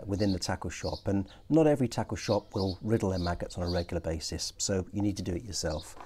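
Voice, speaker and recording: Older guy, low mellow voice, friendly and practical, talking in his garden on a lapel mic. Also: slight background noise. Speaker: angling presenter. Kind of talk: casual how-to explanation. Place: outdoor garden patio.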